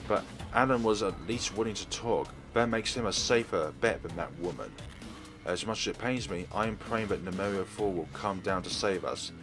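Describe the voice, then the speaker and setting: A man narrating a visual novel aloud as a let's play host. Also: on the low side. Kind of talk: reading visual novel aloud, narrating and doing character voices.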